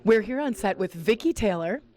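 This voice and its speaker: A woman's voice: medium pitch, expressive, moderate pace, a little mellow. A woman interviewing cast members on set, upbeat and encouraging. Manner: upbeat, encouraging, many short backchannels.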